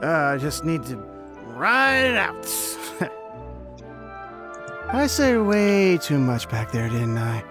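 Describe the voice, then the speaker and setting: Drunk gossip; slow drawl, lively pitch, chuckling ramble. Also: male voice, long reverb tail, over music. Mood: sheepish. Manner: rambling, laughing, slurred chatter. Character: tipsy chatty man, loose-lipped business acquaintance. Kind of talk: game voice acting.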